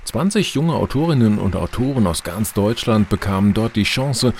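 A man's voice: on the low side, expressive, moderate pace, darkish tone, a little hiss or hum. A man reading a news report voice-over in a scripted style. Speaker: news narrator.

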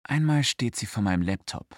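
A man's voice: fairly low pitch, lively intonation, moderate pace, no room sound. An actor playing a frank, loyal, bookish male friend with a dry manner in a radio drama. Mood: warm, playful. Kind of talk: radio drama dialogue and narration.

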